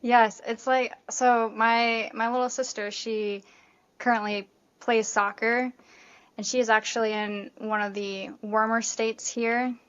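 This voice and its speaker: A woman's voice: fairly high pitch, little pitch movement, unhurried, smooth, dull audio. A woman hosting a podcast interview, upbeat and chatty with lots of fillers. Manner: upbeat, chatty, says "like" often. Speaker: podcast host. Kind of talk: casual interview chat.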